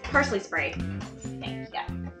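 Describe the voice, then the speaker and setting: A woman, chatting casually to the camera while she cooks in her home kitchen. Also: takes it slowly. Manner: chatty, talks to kids and dogs between asides. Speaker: vlogger and mother. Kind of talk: casual chat while cooking. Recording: background music.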